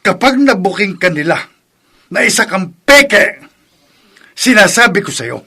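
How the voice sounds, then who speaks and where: A man's voice: high voice, sing-song, measured pace, vocal fry, sharp tone, husky, full character voice. A man acting a stern father scolding his son in a family drama, with a Filipino accent. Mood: angry, threatening. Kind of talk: acted family drama dialogue.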